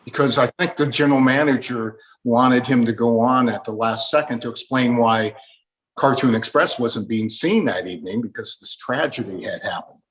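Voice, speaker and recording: A man's voice: mid-range voice, normal speed, fairly bright tone, low-quality recording. An older man with an American accent, an interviewer sharing his recollections in a conversational way. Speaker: interviewer with a radio background.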